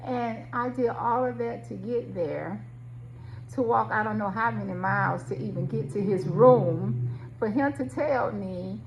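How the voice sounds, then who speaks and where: A woman's voice: medium pitch, a little slow, lo-fi sound, slight background noise. A middle-aged woman telling a personal story in a reflective, conversational way, speaking directly to her listeners.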